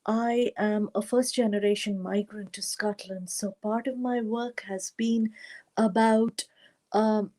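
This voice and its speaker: A woman from western India with an Indian accent, a storyteller introducing a tale online in measured phrases with long pauses. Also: medium pitch, fairly even intonation, a little mellow.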